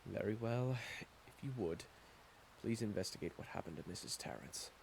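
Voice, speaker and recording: A man playing a gravelly, solemn town guardsman. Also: fairly low pitch, animated, moderate pace, a little mellow, slight background noise, rough recording. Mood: somber. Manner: formal, terse, wary. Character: solemn, skeptical small-town guard official. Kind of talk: fantasy audio roleplay acting.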